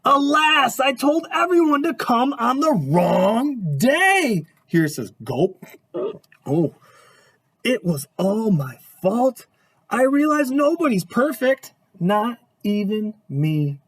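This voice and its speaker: A man voicing a once-sour grape who has turned cheerful, narrating its own story in a lively, earnest storybook manner with a slightly husky tone and a voice that swoops up and down. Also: very high voice, takes it slowly, exaggerated voice. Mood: reflective.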